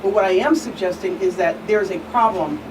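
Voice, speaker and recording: A woman speaking as an expert guest on a panel, deliberate and emphatic, repeating phrases for stress. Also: medium pitch. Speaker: guest expert, attorney and pastor. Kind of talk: panel discussion, earnest explanation. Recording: distant mic, clearly noisy room hum.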